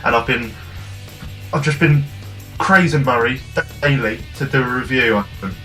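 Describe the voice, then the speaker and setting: A man's voice: medium pitch, normal speed, a bit bright, faint music behind. A young British man co-hosting a casual comedy review, rambling and self-mocking with frequent restarts. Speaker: co-host of a review show.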